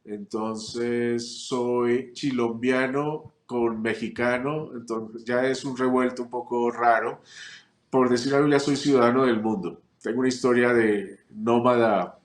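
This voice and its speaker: A man from Chile, a family constellations teacher, answering interview questions reflectively, with frequent pauses and restarts. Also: mid-range voice, fairly even intonation, reverberant. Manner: reflective, many fillers and restarts. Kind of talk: relaxed interview conversation.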